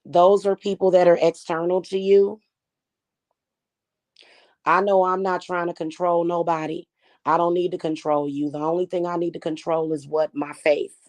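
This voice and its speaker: A woman talks straight to her viewers in a loose, chatty way. Her voice is slightly hoarse, and her tone stays defiant and unbothered. She calls the audience "y'all" throughout. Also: on the low side, little pitch movement, moderate pace, darkish tone. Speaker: spiritual reader and channel host. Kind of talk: casual talk to viewers.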